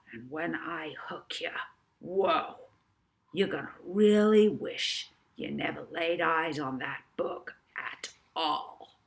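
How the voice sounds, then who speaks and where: A woman voicing an aggressive, scheming male professor villain who speaks in slangy, threatening demands in a storybook reading. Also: deep voice.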